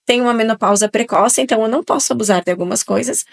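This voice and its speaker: A woman's voice: on the high side, rapid-fire, vocal fry. A woman around forty with an Italian-influenced southern Brazilian Portuguese accent, talking chattily and emphatically as a guest on a radio podcast. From southern Brazil, Italian-settled region. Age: 40s. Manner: chatty, emphatic, tag questions. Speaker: guest nutrition speaker. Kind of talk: casual radio podcast interview. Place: radio studio.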